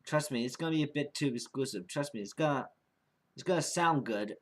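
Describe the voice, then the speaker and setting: Rambling, halting young man, casual vlogger. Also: mid-range voice. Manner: rambling, halting, repeats and restarts phrases. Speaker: amateur podcast creator. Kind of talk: casual unscripted vlog monologue.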